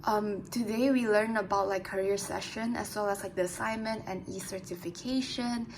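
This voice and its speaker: A woman's voice: mid-range voice, fairly even intonation, normal speed, noisy background. A young woman vlogging in a casual, chatty way, explaining things with lots of "like" fillers. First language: English. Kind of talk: casual vlog diary.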